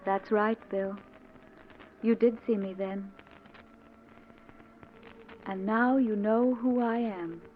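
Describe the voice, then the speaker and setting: An actress playing Death as a beautiful, soothing young woman in a 1940s radio drama, measured and softly insistent. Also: mid-range voice, fairly even intonation, natural voice. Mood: calm, tender. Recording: tinny, noisy 1940s radio recording.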